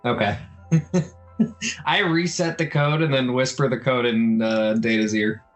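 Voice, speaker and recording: A man's voice: medium pitch, fairly even intonation, measured pace, soft background music. A man chatting casually as he plays a tabletop role-playing game, describing what his character does.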